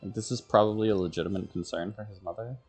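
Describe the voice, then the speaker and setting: A woman streaming a video game with casual commentary in a husky voice. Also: very deep voice, fast, a little mellow. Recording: game music faintly behind. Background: video game music.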